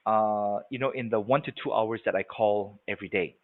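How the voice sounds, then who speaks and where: A man's voice: lowish voice, fairly even intonation, a little fast. A man in his forties from Singapore giving a live webinar sales training, talking conversationally and often checking in with his listeners. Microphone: video call. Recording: tinny phone-like audio.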